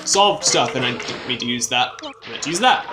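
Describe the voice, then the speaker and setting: A man's voice: medium pitch, expressive, moderate pace. A young man casually commenting on his video game playthrough, chatty and self-deprecating, with bouncy exclamations. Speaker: let's play host. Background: game music.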